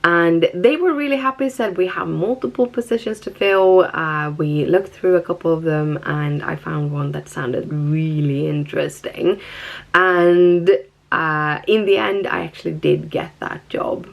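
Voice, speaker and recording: Creaky-voiced Swedish woman vlogger. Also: on the low side, lively intonation, measured pace, highs cut off. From Stockholm, Sweden. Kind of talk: casual personal vlog talk.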